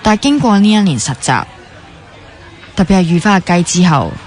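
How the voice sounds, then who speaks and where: A woman's voice: on the low side, big pitch swings, a little fast, crowd noise. An actress with a Hong Kong Cantonese accent playing an earnest, teasing young woman training as a doctor in a radio drama. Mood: earnest, reflective. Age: young adult. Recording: music playing behind the dialogue.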